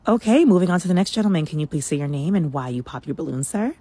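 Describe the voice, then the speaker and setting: A woman playing a dating-show host in a comedy skit, expressive and reactive. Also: low voice, quick pace, breathy edge, no echo. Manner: expressive, reactive, often a host role in skits. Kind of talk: comedy sketch.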